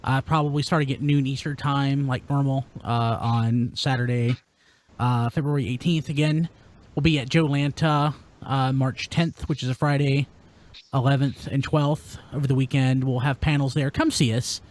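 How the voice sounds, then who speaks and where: A man's voice: mid-range voice, fairly even intonation, normal speed. A man hosting a podcast in a chatty, informal way, talking casually to listeners and co-hosts. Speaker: podcast host. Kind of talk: casual podcast talk, sign-off plugs.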